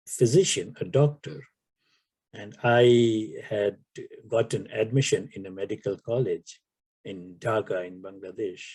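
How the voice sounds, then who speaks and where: An older man from Pakistan, whose first language is Urdu, answering interview questions slowly with many pauses and fillers. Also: fairly low pitch, mellow, slightly rough. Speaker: author guest.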